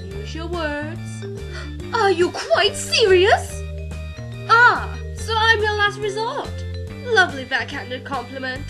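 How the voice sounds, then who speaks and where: A woman's voice: very high-pitched, sing-song, slow, over music, exaggerated voice. A woman voicing a prim, scholarly female pika archaeologist who speaks in a genteel, chatty and easily flustered way. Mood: polite, fussy. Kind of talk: fan voice acting reel.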